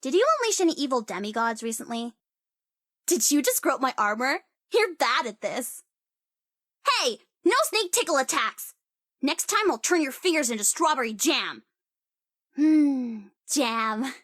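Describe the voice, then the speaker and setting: High-pitched, cocky sorceress. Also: female voice, animated, unhurried, a bit bright, no echo, studio quality, heavily acted. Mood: flustered. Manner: theatrical, teasing, swinging into wry asides. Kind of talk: video game character voice lines.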